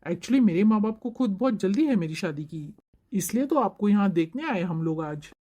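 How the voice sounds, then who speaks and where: A woman's voice: mid-range voice, expressive, moderate pace, warm tone, highs cut off, lightly acted. A woman voicing a polite young man courting a bride in a cartoon story, accommodating and eager to please. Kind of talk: Hindi cartoon moral-story voice acting.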